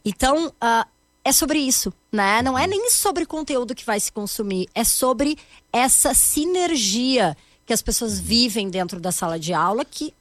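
A woman in her thirties with a Gaúcho Brazilian accent, speaking as an interview guest in an animated, emphatic way with frequent tag questions. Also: fairly high pitch, moderate pace, no room sound. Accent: Gaúcho Brazilian Portuguese. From Rio Grande do Sul, Brazil. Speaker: guest, corporate education founder. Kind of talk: conversational interview.

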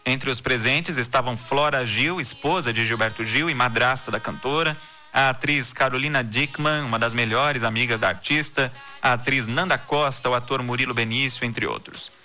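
A man hosting a news podcast from Brazil, reading the day's stories and listener messages in a steady, measured newsreader delivery. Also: mid-range voice, faint music behind.

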